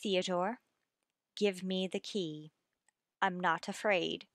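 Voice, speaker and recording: A woman playing an earnest, anxious woman in love with a widower, in slow, pleading, melodramatic old-time radio suspense style. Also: fairly low pitch, fairly even intonation, fairly bright tone, no room sound, clean studio sound. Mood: firm, distressed. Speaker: radio drama actor.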